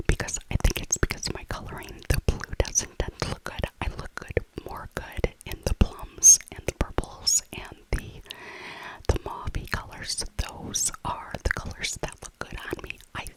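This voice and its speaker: A woman's voice: normal speed, rough recording. Whispering middle-aged woman, ASMR voiceover. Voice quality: breathy unvoiced whisper. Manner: rambling, softly repeats phrases. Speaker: ASMR video creator.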